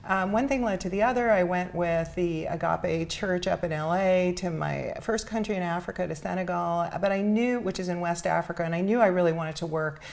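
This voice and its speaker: A middle-aged woman with an American accent, telling her personal story earnestly and reflectively as an interview guest, pausing between phrases. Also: fairly low pitch, gravelly, darkish tone. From New York City, United States. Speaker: interview guest, charity founder.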